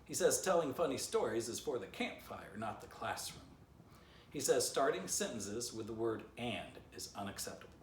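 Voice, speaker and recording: A man's voice: medium pitch, very animated, moderate pace, a little hiss or hum. Playful man reading his children's book. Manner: playful, chatty asides to young listeners. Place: living room by a fireplace, indoors. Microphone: camera a few feet away. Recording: roomy, slightly distant home recording.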